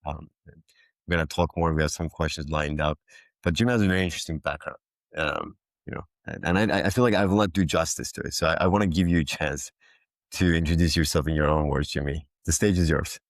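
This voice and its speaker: A man's voice: extremely low pitch, fairly even intonation, no echo, clean studio sound. A man hosting a podcast, talking casually and loosely, often restarting his sentences as he sets up questions. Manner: loose, halting, with frequent restarts. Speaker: podcast host. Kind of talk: casual interview chat.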